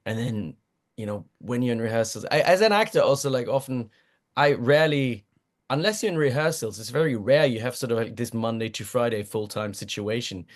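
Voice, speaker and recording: A man's voice: mid-range voice, brisk. Chatty German-native man, laughing a lot. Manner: rambling, self-deprecating, laughs often, many restarts. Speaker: guest voice actor. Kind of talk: casual interview chat.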